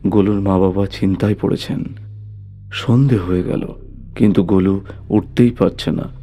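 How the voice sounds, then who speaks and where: Casting: male narrator for scripted ghost stories, lowish mellow voice. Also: fairly even intonation, moderate pace. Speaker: storyteller, narrator. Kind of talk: scripted horror story narration.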